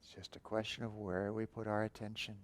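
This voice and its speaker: A man guiding a breathing meditation, giving calm, unhurried instructions with long pauses between phrases. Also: fairly low pitch. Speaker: meditation teacher.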